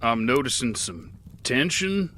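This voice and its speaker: A man voicing a haunted veteran gunslinger who narrates his own tale, gruff and sardonic, in a western fantasy audio drama. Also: medium pitch, very animated, a little slow, pushed and bright, slight background noise. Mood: world-weary. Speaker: narrator and lead.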